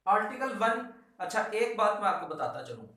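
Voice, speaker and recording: High-pitched Pakistani man lecturing, very echoey. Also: very animated, normal speed, pushed and bright. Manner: emphatic, repeats key instructions, mixes in English terms. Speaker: law lecturer. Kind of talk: instructional lecture for exam students. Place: echoey room with a whiteboard. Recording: very echoey room, distant mic.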